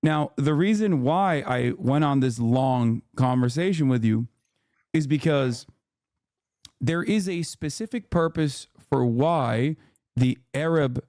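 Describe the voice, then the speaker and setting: A man from Turkey, a native Turkish speaker, hosting a live debate and explaining at length in a patient, deliberate way. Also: mid-range voice, darkish tone, no room sound, studio quality.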